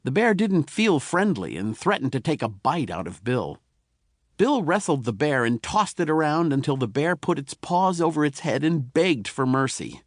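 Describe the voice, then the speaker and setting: A man narrating a children's tall tale as an audiobook, in an expressive, folksy storytelling manner. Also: on the high side, normal speed, no room sound, clean studio sound.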